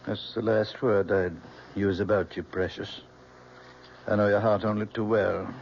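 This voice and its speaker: An American man, middle-aged, playing a possessive, doting, scholarly father in an old-time radio drama with a genteel, measured manner. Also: fairly low pitch, little pitch movement, gravelly. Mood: tender, wistful. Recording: muffled, noisy old radio recording.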